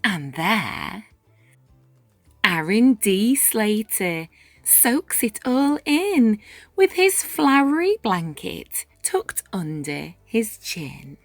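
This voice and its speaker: Very slow, lively woman reading a storybook. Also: medium pitch, no echo. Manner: measured, dramatic phrasing with deliberate pauses. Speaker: storyteller reading a picture book aloud. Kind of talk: expressive children's read-aloud narration in rhyme. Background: music.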